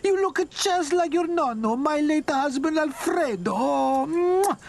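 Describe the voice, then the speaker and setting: A man voicing a warm, fiery, bossy elderly Italian grandmother in broken English, with a shrill, exuberant delivery. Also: expressive, unhurried, creaky, heavily acted. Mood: delighted, effusive.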